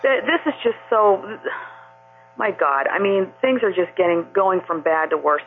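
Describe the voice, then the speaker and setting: A woman's voice: fairly low pitch, expressive, normal speed, a little hiss or hum. A woman calling in to a talk radio show, rambling and indignant with many fillers. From Maine. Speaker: caller. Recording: phone line, husky.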